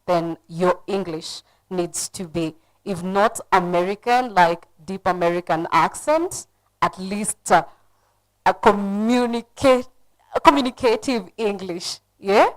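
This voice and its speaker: A woman's voice: mid-range voice, slow, low-quality recording. A woman with a Kenyan accent speaking as a panelist at a conference, giving direct, earnest advice.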